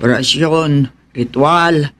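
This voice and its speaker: An actor playing a worried village healer in a horror radio drama, speaking in a grave, measured, foreboding way. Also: male voice, fairly high pitch, a bit bright. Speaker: folk healer (albularyo).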